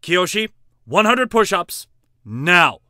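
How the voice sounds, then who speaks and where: A man's voice: high-pitched, big pitch swings, slow pace, bright, forceful tone, no room sound, clean studio sound, full character voice. A man acting an easily flustered, put-upon young teacher in an amateur anime-style drama. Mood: flustered, scolding.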